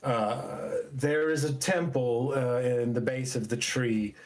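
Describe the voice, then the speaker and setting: Slow-paced man narrating a fantasy game. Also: mid-range voice, steady tone, low-quality recording. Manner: halting, deliberate narration. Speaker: game master. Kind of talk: narrating a tabletop role-playing game.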